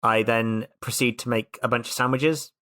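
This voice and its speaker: A man playing a tabletop roleplay, casually narrating his character's actions in a halting, playful way. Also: mid-range voice, steady tone, a bit bright. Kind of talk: improvised first-person game narration, casual.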